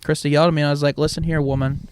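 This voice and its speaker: A man in his twenties rambles through a relaxed podcast chat and laughs often. His voice is smooth, resonant and slightly high-pitched. The sound is close and dry. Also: rapid-fire, no echo. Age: 20s. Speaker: podcast co-host. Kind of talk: casual chat.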